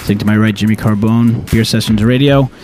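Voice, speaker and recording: A man's voice: lowish voice, fairly even intonation, fairly quick, music in the background. A man hosting a radio talk show in a casual, conversational style.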